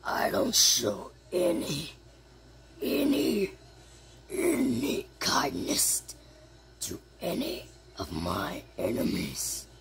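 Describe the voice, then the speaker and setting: A menacing blue bird dragon, put on by an amateur, gloats over battle foes. The voice is extremely creaky and leaps wildly in pitch, drawn out and slow, over clear background noise. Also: wildly swooping pitch, fairly bright tone, full character voice. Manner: taunting, dramatic, laughing between threats. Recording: noisy homemade recording.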